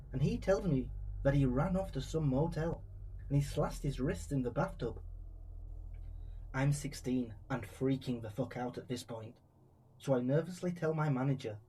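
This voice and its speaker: A man's voice: medium pitch, mellow, a little hiss or hum, poor audio. A man narrating creepy first-person true stories from a script, as a true crime storyteller.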